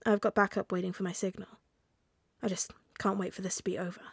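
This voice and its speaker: A woman voicing a tense, guarded male officer in an audiobook story, speaking quietly and tersely. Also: mid-range voice, flat delivery, quick pace, vocal fry, slightly rough, no room sound, clean studio sound. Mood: tense, weary.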